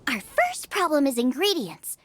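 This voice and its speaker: A woman's voice: sits high, wildly swooping pitch, normal speed, fairly bright tone, full character voice. A woman voicing a bubbly, eager young girl chef in a video game. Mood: eager, bossy. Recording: music behind the voice. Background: game background music.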